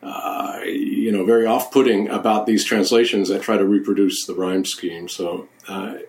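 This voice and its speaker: A man hosting a conversational interview, thoughtful and halting, with frequent restarts. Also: fairly low pitch, little pitch movement, darkish tone. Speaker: host.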